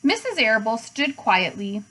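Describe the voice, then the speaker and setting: A woman reads a children's storybook aloud. Her voice sits a little high, and she narrates as a teacher does for young listeners. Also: sing-song, moderate pace, pushed and bright, rough recording.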